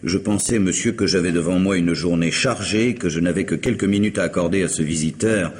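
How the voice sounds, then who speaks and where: A low-voiced, formal, indignant professional. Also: male voice, brisk, darkish tone, husky. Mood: stiff, irritated. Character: busy, formal professional man, indignant yet warily curious. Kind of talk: French radio mystery drama acting. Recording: noisy old radio drama recording.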